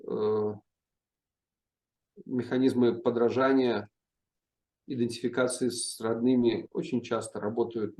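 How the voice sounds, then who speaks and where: A middle-aged man speaking as a guest professor in an interview, answering in a measured way with many pauses and hesitations. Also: medium pitch, warm tone.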